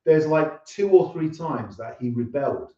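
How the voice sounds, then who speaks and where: A man's voice: on the high side, animated, measured pace, dark tone. A man in his fifties chatting casually as an interview guest, earnest and explanatory. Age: 50s. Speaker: singer-songwriter and interview guest. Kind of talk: casual chat. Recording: roomy, distant, dull lo-fi sound.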